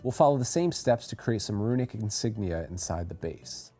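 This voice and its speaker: A man reading a scripted how-to voice-over as a tutorial narrator, giving clear step-by-step instructions. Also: on the low side, expressive, normal speed, dark tone, faint music behind.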